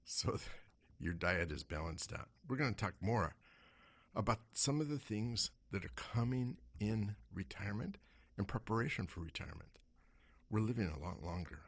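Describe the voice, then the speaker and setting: Hoarse male radio host, husky, low voice, rhetorical, animated delivery. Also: normal speed, dry recording. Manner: rhetorical questions, animated pitch swings. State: hoarse from smoke. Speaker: radio show host and investment advisor. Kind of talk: talk radio monologue.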